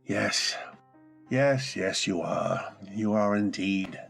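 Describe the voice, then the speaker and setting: A man plays a volatile, secretive husband in an old-time radio drama. His low voice is gravelly and husky, snapping in sudden anger and then softening into tender apology. Faint music plays underneath. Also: slow, full character voice. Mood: uneasy. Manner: abrupt angry outbursts softening into tender apology. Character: brooding, secretive, volatile English gentleman husband. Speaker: voice actor. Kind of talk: 1940s radio acting.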